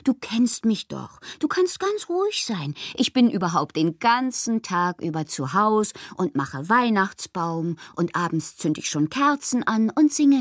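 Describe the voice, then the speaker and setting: A woman's voice: medium pitch, dry recording, clean studio sound. An actress playing a whimsical, lovelorn, melancholy woman poet performing her letters in a radio drama, theatrical and given to whispering. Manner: theatrical, swinging between breathy whispers and exclamations.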